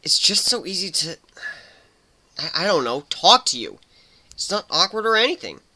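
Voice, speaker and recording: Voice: medium pitch, big pitch swings, moderate pace, bright, forceful tone, voice pushed up, exaggerated voice. A performer voicing a nervous, earnest male pegasus pony who stammers and hesitates in a dramatic story reading. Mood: bashful. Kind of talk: dramatic fan fiction reading.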